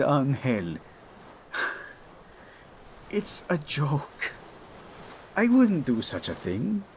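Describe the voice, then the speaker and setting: A man's voice: on the high side, a little hiss or hum, heavily acted. An actor playing a devoted, teasing king and husband in an intimate romantic audio roleplay, speaking tender endearments. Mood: playful, teasing. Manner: tender, playful, unhurried endearments.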